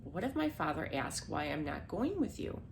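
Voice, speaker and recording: Woman voicing a gentle, pleading storybook young girl. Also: deep voice, big pitch swings, normal speed, vocal fry, slight background noise, heavily acted.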